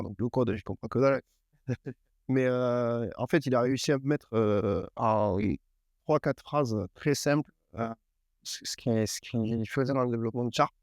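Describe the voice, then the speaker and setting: A man's voice: medium pitch, a little mellow, no echo. Guy with a middle voice rambling through a story, lots of euh, dry room. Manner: hesitant, rambling with fillers. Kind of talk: casual improvised interview.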